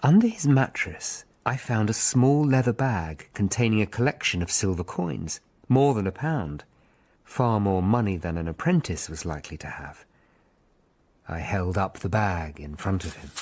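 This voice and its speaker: An actor playing a troubled Tudor lawyer, narrating a murder hunt gravely in a radio drama. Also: male voice, on the low side, animated, moderate pace, a little mellow. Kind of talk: scripted first-person narration in a radio drama.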